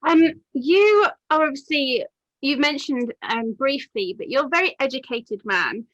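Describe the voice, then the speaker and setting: A woman's voice: high voice, lively intonation, a little slow, dry recording, muffled recording. A woman hosting an interview over a video call, asking polite questions and laughing along with her guest. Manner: warm backchannels, frequent laughter, polite questions. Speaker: interviewer, channel host. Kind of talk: casual interview.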